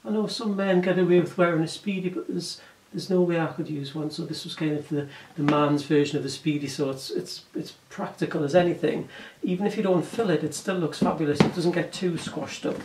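A man in his forties with an English accent, chatting casually through a bag review, hoarse from a sore throat and often correcting himself. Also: on the high side, steady tone, brisk, few pauses, roomy sound, recorded from a distance, background noise. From England. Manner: rambling, self-correcting, chatty. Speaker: vlogger reviewing bags. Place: room indoors, curtain backdrop.

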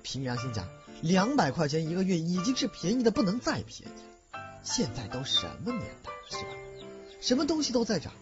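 A man acts an evasive, stingy landlord in an audio drama, whining and flustered. His pitch swings wildly. The audio is a bit dull, with music underneath. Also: very animated, fairly quick. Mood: wheedling, complaining. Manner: flustered, hedging, wheedling. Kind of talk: Chinese audio drama acting. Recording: muffled recording with music behind.

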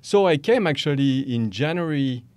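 A man's voice: a little high, moderate pace, no room sound. A man in his forties from France with a French accent, a guest in a podcast interview, talking with lots of fillers and hand gestures in a studio. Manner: animated, gestures with both hands, hesitant fillers. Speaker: guest, company founder. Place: small dark studio set, seated in armchair. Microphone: studio microphone on boom stand.

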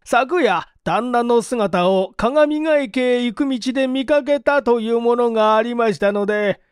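A man voicing a panicked farmhand who speaks loudly in rustic dialect, in an audiobook reading. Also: extremely high pitch, smooth, dry recording, studio quality, pitched above the performer's own voice. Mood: urgent, breathless reporting. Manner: drawn-out shouting in rustic dialect. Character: panicked rustic farmhand reporting a death to his master. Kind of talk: audiobook character voice.

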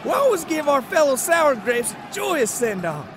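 A man's voice: very high voice, big pitch swings, normal speed, background noise. A man voicing a folksy, scheming Old West outlaw who talks like a sly fibber, in a fan comic dub. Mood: slyly friendly.

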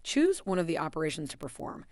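A woman giving a scripted, even voice-over that walks through software steps. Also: low-pitched, quick pace, no room sound, studio quality. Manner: even, instructional delivery. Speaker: software tutorial narrator.

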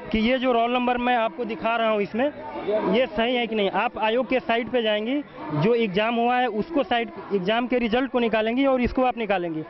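A man's voice: very high-pitched, fairly even intonation, fairly quick, highs cut off, a crowd in the background. A young man, a protesting exam candidate, earnestly arguing his point into a reporter's handheld mic outdoors. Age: young adult. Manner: earnest and argumentative. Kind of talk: interview answer. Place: outdoors among a crowd. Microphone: handheld mic held by reporter.

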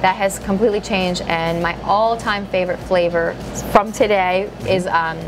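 A woman's voice: medium pitch, fairly even intonation, moderate pace, poor audio. A woman in her thirties who owns an ice cream shop, telling its story in a casual interview with a lapel mic, chatty and full of fillers. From Greece. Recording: music playing under the voice.

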